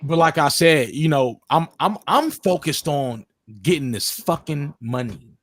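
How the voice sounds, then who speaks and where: A man's voice: fairly high pitch, animated, unhurried, a bit bright, dry recording. Slangy man in his thirties, dry sound. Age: 30s. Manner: profane, slangy, steering the talk with questions. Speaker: livestream host. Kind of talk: casual chat. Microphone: distorted mic at times.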